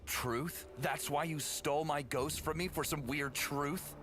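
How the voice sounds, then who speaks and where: A man's voice: on the high side, fairly even intonation, measured pace, natural voice. A man playing a bitter, sardonic, battle-worn warrior in an audio drama, with dramatic delivery. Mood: angry, anguished. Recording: loud music over the voice.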